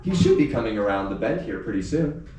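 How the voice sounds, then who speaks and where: A man's voice: fairly low pitch, animated, a little fast, mellow, lightly acted. A man voicing a smiling, genteel murderous husband in a thriller read aloud, upbeat about violence. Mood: pleasant, calculating. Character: smiling, polite murderous husband who savors the kill. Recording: roomy, echoing live reading, distant mic, faint noise.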